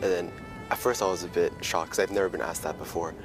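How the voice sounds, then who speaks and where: A man in an interview, softly and hesitantly recalling an encounter. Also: low-pitched, fairly even intonation, fast talker, music in the background.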